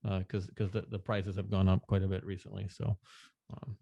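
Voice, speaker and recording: A man talking as a podcast guest in casual chat, relaxed, laughing often and restarting sentences. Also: sits low, brisk, gravelly, husky, dry recording, highs cut off. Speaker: podcast guest, NFT artist.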